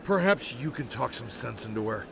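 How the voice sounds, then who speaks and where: An actor playing an exasperated, urgent brother-in-law in a video game drama, insistent and pleading. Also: male voice, mid-range voice, lively intonation, moderate pace, vocal fry, noisy background.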